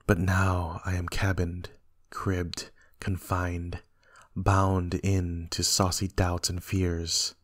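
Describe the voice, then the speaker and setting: A man playing a guilt-ridden usurper king in a Shakespearean dramatic reading, with measured, declamatory verse delivery. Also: deep voice, monotone, fairly bright tone, heavily acted. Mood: brooding, uneasy. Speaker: amateur actor in a library's dramatic reading.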